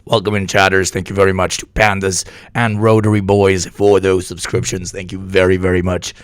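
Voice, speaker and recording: A sick, hoarse man streaming live news commentary, talking bluntly and with plenty of swearing. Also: low-pitched, little pitch movement, normal speed, no room sound. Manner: profane, blunt, rambling. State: hoarse from illness.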